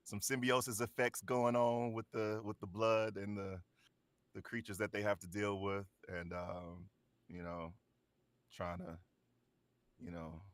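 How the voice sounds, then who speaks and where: A man's voice: fairly low pitch, fairly even intonation, unhurried, dry recording. A man chatting casually as a voice actor on a panel, jokingly acting out imagined dialogue. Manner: jokey, acts out imagined dialogue. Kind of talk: casual after-show chat.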